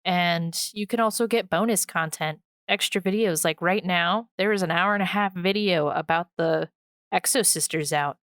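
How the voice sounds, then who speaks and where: Female podcast co-host, smooth clear voice, dry close sound, informal and jokey delivery of plugs. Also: lowish voice, moderate pace, fairly bright tone, dry recording. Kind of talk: casual podcast outro.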